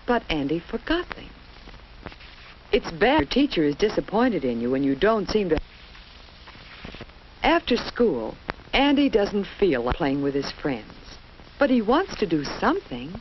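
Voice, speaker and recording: A woman narrating a children's educational film in a scripted storytelling style, with simple instructive phrasing and questions put to young viewers. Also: mid-range voice. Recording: dull lo-fi old film soundtrack, faint hiss.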